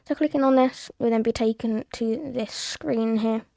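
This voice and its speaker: A British woman narrates a casual screen tutorial in a fairly high voice full of heavy vocal fry. Her tone is soft-edged and mellow, and she explains things plainly, one step at a time. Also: normal speed, dry recording. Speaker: tutorial presenter.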